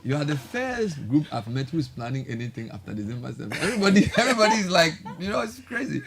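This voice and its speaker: A man's voice: on the high side, sing-song, fast talker, creaky, noisy background. A man with a Ghanaian English accent hosting a live breakfast radio interview, teasing his guests and laughing often. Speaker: radio show host. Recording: radio studio with faint ambience.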